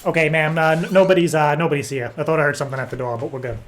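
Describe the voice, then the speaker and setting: A man making a prank phone call, rambling in an earnest act with hesitant, halting phrasing. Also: on the high side, fairly even intonation, a little hiss or hum. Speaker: prank caller. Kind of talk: improvised phone prank chat.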